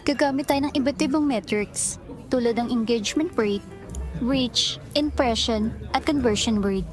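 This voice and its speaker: A woman's voice: fairly high pitch, unhurried, music in the background, close to an everyday voice. A woman playing an eager young employee with a Filipino accent in a romantic audio drama, polite to her boss and secretly smitten. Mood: earnest, professional. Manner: polite and deferential, with flustered girlish asides. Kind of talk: romantic audio drama acting, Tagalog-English mix.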